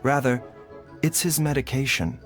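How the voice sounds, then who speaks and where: A man playing a gentle, scholarly doctor in a video game, speaking in a calm, measured and formal manner. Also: medium pitch, soft background music, natural voice. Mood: clinical, measured. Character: gentle male herbal doctor, calm, scholarly, self-sacrificing.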